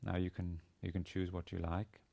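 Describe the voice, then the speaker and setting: A man in his forties with a Danish accent lectures as a language teacher. His voice sits very low and crackles with vocal fry. He explains haltingly, often doubling back on small words as he goes. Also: completely flat delivery, dark tone. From Denmark. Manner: halting, hesitant delivery with repeated words. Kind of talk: instructional lecture. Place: indoor studio with plain grey backdrop.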